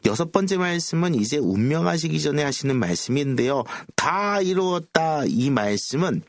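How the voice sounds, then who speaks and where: A man's voice: on the high side, big pitch swings, moderate pace, dry recording, clean studio sound. A man speaking as a pastor, explaining Bible passages in a conversational lesson. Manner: explanatory, quotes scripture. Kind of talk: Bible teaching in a conversational group discussion.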